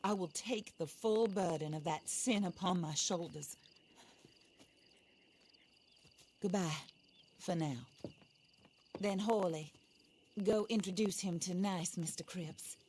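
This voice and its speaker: A genteel frontier widow, played by an actress, with a very low voice and a composed, commanding way of speaking. She talks formally and deliberately, like someone bent on revenge in a Western game. Faint night insects sit behind her. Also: a little mellow, slight background noise, natural voice. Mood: steely. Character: vengeful, genteel, commanding widow of the Old West. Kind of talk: game cutscene acting.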